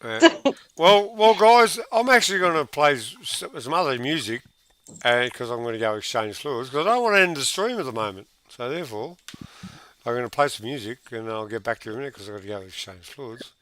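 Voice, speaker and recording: A man's voice: mid-range voice, animated, brisk, a bit bright, a little hiss or hum. A man with a Yorkshire accent, hosting a livestream and chatting casually on a group call, laid-back and hesitant, laughing often and swearing. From Yorkshire, England. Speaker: livestream host.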